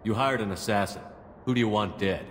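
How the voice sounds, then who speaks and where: A man plays a firm, fair commander in a sci-fi game. The voice is deep, terse and controlled, with some reverb and faint noise around it. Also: a little fast, lightly acted. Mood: pressing. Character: steady, resolute male military commander, firm but fair. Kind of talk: video game voice acting.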